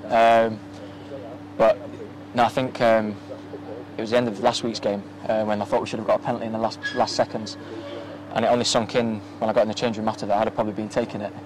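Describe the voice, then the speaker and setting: Young male footballer, flat low delivery, halting, outdoor handheld-mic interview. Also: a crowd in the background, low-quality recording. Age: young adult. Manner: soft-spoken, many ums and restarts. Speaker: professional footballer. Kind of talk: post-match interview. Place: outdoors at a floodlit football ground at night. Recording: outdoor ambience with faint noise.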